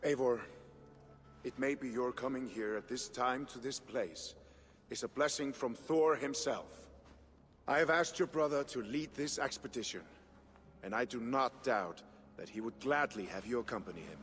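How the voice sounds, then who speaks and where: A man's voice: medium pitch, normal speed, fairly bright tone, long reverb tail, a little hiss or hum, natural voice. A man playing an earnest Viking leader. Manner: earnest, welcoming. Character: Viking leader planning an aid voyage, warm host. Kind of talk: video game cutscene acting.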